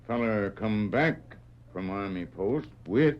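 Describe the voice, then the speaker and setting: A man playing a stoic, loyal sidekick in an old radio western, speaking in terse, halting broken phrases. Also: fairly low pitch, lively intonation. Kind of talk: 1940s radio western acting. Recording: dull vintage radio recording.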